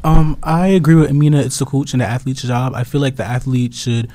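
A man's voice: mid-range voice, fairly even intonation, brisk, warm tone. A nineteen-year-old man who is a guest entrepreneur, giving his view plainly. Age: late teens.

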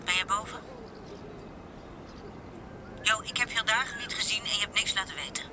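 An actress playing a shaken, reproachful young club worker in an audio drama, blunt and emotional. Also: female voice, mid-range voice, moderate pace, noisy background, natural voice.

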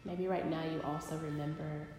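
A woman leading a guided body scan meditation, speaking gently and unhurriedly with long pauses. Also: low voice, little pitch movement, music in the background. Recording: very echoey live recording, long reverb.

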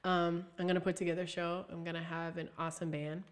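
A young woman from Ohio, a singer chatting as a guest in an interview, animated and full of "like" and "um" fillers. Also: lowish voice, fast. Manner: animated, many fillers like "like" and "um", laughs easily. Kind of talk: casual interview chat.

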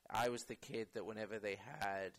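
A man's voice: lowish voice, little pitch movement, a little fast. A man talking casually as an actor guest in an interview, rambling with many fillers and restarts.

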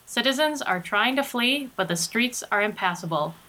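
A woman's voice: a little high, lively intonation, normal speed, bright, forceful tone. A woman playing a composed but urgent news anchor in an old-time radio drama. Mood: grave urgency. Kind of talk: old radio newscast acting. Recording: faint background noise.

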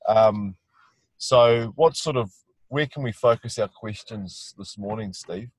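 A man's voice: on the low side, slow, no echo, low-quality recording. A man, a field trip teacher hosting a web conference from inside a vehicle, talking in a loose, friendly way with fillers and restarts. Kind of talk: casual hosted web conference.

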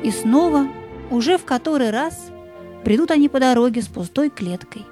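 A woman narrating a scripted fairy tale in a gentle, lyrical storytelling manner. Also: sits high, lively intonation, moderate pace, music in the background.

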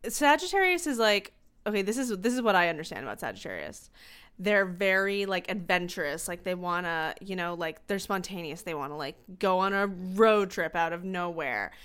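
A woman's voice: lowish voice, lively intonation, moderate pace, fairly bright tone, smooth. A woman hosting a podcast, asking questions in an upbeat, affirming way. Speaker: podcast host. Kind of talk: casual podcast chat.

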